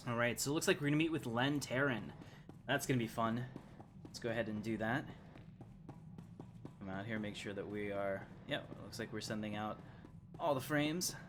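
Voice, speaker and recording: A man's voice: medium pitch, moderate pace, pauses often, noisy background. A man streaming a video game with casual, joking, rambling commentary.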